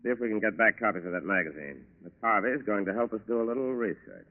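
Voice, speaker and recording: An actor playing a businesslike federal agent, clipped and probing, in a 1940s radio crime drama. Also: male voice, fairly low pitch, fast talker, natural voice. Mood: brisk, keen. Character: brisk, businesslike male federal agent questioning witnesses. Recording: tinny, band-limited 1940s radio recording.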